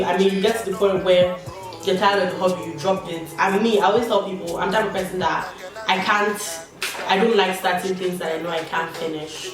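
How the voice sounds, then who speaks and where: A young woman chatting candidly while doing her makeup, with slangy asides. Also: mid-range voice. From Nigeria. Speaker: beauty vlogger. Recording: echoey room, distant mic, background music. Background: background music.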